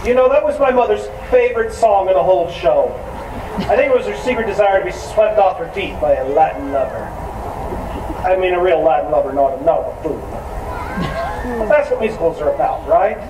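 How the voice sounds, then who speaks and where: A man's voice: high-pitched, lively intonation, brisk. A man playing a wistful, wry musical-theater fan who chats to the audience in asides, in a community musical. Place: outdoor amphitheater stage. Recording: distant, echoey outdoor stage with noise.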